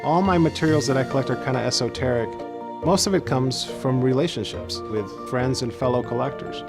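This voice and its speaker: A man from Chile speaking personally in a documentary interview, in a mid-pitched voice. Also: brisk, creaky, over music. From Chile, moved to the United States as a child. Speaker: stamp collector interviewee.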